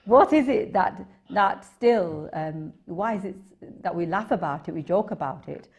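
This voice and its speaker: Low-voiced English woman interviewing. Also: animated, a little mellow. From England. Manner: deliberate, wordy questioning with hesitations. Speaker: TV host, interviewer. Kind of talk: conversational interview.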